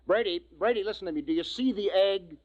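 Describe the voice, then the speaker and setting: An actor playing a resolute, urgent space expedition commander, terse and commanding in 1950s radio drama style. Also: male voice, sits high, fast talker, no echo, close to an everyday voice. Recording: tinny, narrow vintage radio recording.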